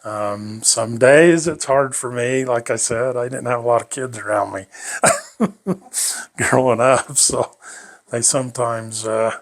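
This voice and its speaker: A man's voice: medium pitch, slow pace. An older man reminiscing about his life in an interview, rambling and folksy, chuckling often.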